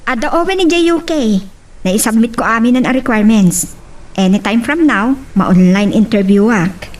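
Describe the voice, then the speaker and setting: A woman's voice: on the high side, animated, moderate pace, darkish tone, natural voice. A woman playing a caring, hardworking mother in an amateur Ilocano family drama. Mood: conversational. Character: caring hardworking mother seeking work abroad. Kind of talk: Ilocano radio-style family drama acting. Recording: distant mic, background noise.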